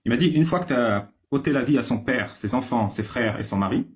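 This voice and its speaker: Fast, lively, joking male host. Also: medium pitch, a little hiss or hum. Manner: joking and provocative. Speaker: live stream host. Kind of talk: live debate chat.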